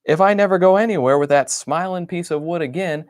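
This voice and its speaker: A man's voice: fairly high pitch, a little fast, dry recording, studio quality, pitched above the performer's own voice, close to an everyday voice. A man voicing a grumpy, put-upon stuffed bunny who complains through his diary entries in an exasperated way.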